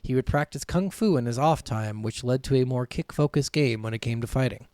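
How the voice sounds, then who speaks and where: A man's voice: mid-range voice, fairly quick, a little mellow, no echo. A man co-hosting a podcast, chatting informally with plenty of swearing and commenting on his notes as he goes. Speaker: podcast co-host. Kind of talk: casual chat, reading notes and commenting.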